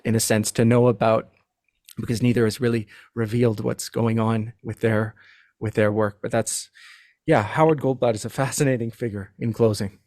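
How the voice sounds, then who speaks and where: A man speaking as a podcast guest in casual literary discussion, halting and often repeating words. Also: mid-range voice, steady tone, darkish tone, dry recording, studio quality.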